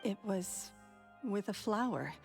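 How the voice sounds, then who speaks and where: Gentle, wistful woman over music. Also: mid-range voice, animated, slow, gravelly. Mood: fond. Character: gentle wistful woman remembering a magician she loved. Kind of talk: video game dialogue acting.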